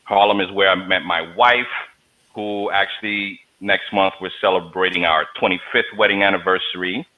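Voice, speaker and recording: Deep-voiced new guy in his 40s, joking storyteller, muffled video call sound. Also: fairly even intonation, moderate pace. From New York, USA. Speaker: guest. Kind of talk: casual interview talk.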